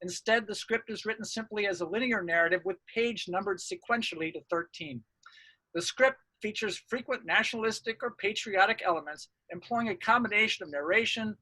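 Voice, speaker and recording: A man's voice: sits high, bright, forceful tone, poor audio. A man presenting academic research, reading a scripted lecture in a formal, orderly way.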